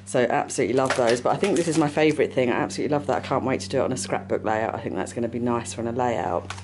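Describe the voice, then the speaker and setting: A woman's voice: rumbling low, very fast, noisy background. An English woman hosting a casual craft vlog, chatty and upbeat, with lots of fillers. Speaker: vlogger, craft shop owner. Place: craft studio and shops.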